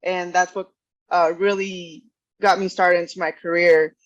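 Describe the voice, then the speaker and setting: A woman's voice: lowish voice, little pitch movement, measured pace, lo-fi sound. A woman raised in Mexico, a strength coach, talking easily about her career and joking. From Mexico, Ciudad Juarez. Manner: easygoing, joking.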